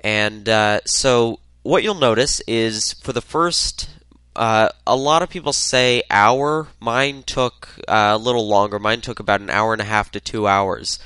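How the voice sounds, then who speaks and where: A man's voice: lowish voice, a little slow, pushed and bright, clean tone, dry recording. A man with a head cold hosting a solo tech podcast, talking loosely with lots of uhs. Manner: rambling, many filler uhs. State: congested from a cold. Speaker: podcast host.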